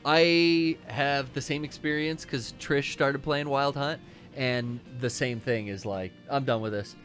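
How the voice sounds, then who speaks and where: A man's voice: mid-range voice, unhurried. A man in his thirties co-hosting a gaming stream, chatting casually while he plays and reacting aloud to the game. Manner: lively, reacts loudly to gameplay. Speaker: co-host playing a video game on stream. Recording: game music playing behind.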